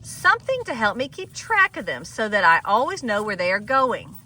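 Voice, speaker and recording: A woman's voice: on the high side, sing-song, moderate pace, sharp tone, far from the mic, heavily acted. A woman voicing a loving mother dog from a storybook, in her own voice. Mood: tender. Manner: read aloud from a storybook.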